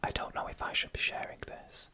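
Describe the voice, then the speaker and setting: This is an ASMR narrator telling creepy stories entirely in a whisper. The delivery is hushed and breath-only, with a bright, sharp edge. Phrases are set apart by deliberate pauses. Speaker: narrator reading horror stories.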